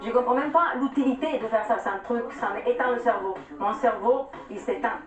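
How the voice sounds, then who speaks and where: A woman's voice: on the high side, brisk. A 28-year-old woman from Italy with a light Italian accent, answering interview questions in a teasing, self-assured way. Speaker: reality show contestant. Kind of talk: televised interview. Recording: roomy, muffled, distant TV audio with music.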